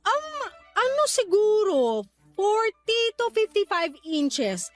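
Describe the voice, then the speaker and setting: A woman's voice: very high voice, very animated, slow pace, dry recording, full character voice. A woman playing a boastful, envious Filipino mother in a radio drama, with an exaggerated, swooping delivery. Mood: boastful, showy. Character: boastful, envious Filipino mother, one-upping her neighbor. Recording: light background music under dialogue.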